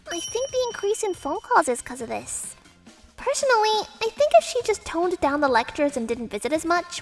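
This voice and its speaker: A woman voicing an anxious young newlywed wife in a manga dub, expressive and easily flustered. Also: very high voice, exaggerated voice. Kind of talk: manga dub first-person narration and dialogue.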